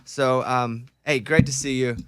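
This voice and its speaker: A man's voice: mid-range voice, steady tone, measured pace, fairly bright tone. A man from Arkansas hosting a radio show, interviewing a guest in a playful, teasing way.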